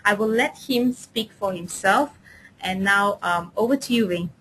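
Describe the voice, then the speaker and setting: A woman's voice: measured pace, fairly bright tone. A woman hosting a webinar, giving a prepared, formal introduction of a trainer. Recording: noisy line with low rumble.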